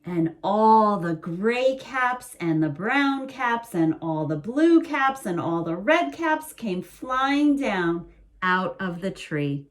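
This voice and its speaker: Slow soothing bedtime storyteller woman. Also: mid-range voice, big pitch swings. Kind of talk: bedtime picture book reading.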